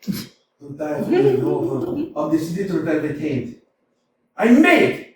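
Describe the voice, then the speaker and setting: Actor reading a blustering, lovestruck landowner, high-voiced and wildly swooping, slow-spoken, heard from far off in an echoey theater. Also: male voice, wildly swooping pitch, slight background noise, heavily acted. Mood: frantic. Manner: swings from muttering to loud outbursts. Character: blustering middle-aged male landowner, hot-tempered, lovestruck. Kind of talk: staged reading of a stage comedy. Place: community theater stage. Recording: echoey hall, mic from far off.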